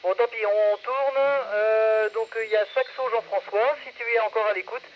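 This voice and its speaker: A man casually hosting an amateur radio net, speaking in halting, hesitant phrases into a radio microphone. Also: high-pitched, fairly even intonation. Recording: muffled radio audio with background noise.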